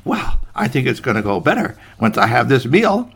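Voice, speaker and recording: A man's voice: mid-range voice, very animated, normal speed, creaky, a bit bright, breathy edge, exaggerated voice. A man voicing a sly, hungry old female cat who hums and muses in a children's story. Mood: delighted. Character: sly, hungry ancient female cat, savoring her prey.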